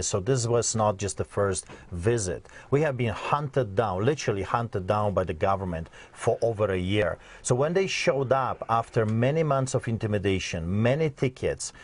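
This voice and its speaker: Low-voiced man. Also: lively intonation, normal speed, hardly any pauses. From Poland. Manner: earnest and emphatic. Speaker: pastor, interview guest. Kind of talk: interview.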